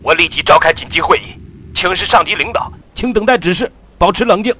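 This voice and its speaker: An actor playing an urgent mission control team leader, clipped and official, with a harsh voice over a radio link. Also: male voice, sits high, expressive, fairly quick, sharp tone, exaggerated voice. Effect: radio transmission.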